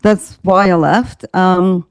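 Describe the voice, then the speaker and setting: A woman's voice: fairly low pitch, fairly even intonation, slow pace, smooth, no room sound. An older woman from Ukraine with an Eastern European accent, chatting as an interview guest over a video call, laughing often and using many fillers. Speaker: guest coach. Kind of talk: casual interview chat.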